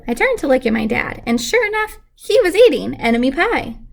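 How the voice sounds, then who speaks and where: A woman's voice: high-pitched, big pitch swings, a little fast, vocal fry. A woman reading a children's storybook aloud expressively, like a teacher with a class lesson.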